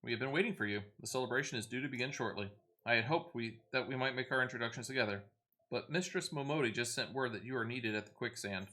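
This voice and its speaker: A man's voice: mid-range voice, fast, bright, forceful tone, natural voice. A man reading game dialogue as a courteous, composed ally with formal, archaic phrasing. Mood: polite.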